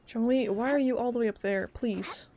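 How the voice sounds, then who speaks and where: High-voiced woman streaming a game. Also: moderate pace, dark tone. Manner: informal, reactive. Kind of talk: casual live reaction commentary.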